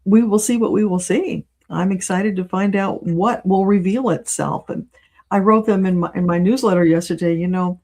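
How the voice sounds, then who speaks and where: A woman's voice: mid-range voice, normal speed, darkish tone. A woman speaking as a guest in a casual conversational interview. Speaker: guest, spiritual channeler and reader.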